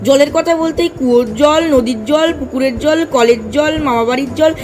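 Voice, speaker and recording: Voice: high voice, fairly quick, close to an everyday voice. A performer in a comic audio drama playing a weary, thirsty male traveller who is polite but exasperated. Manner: pleading, exasperated, polite address. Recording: music behind the voice.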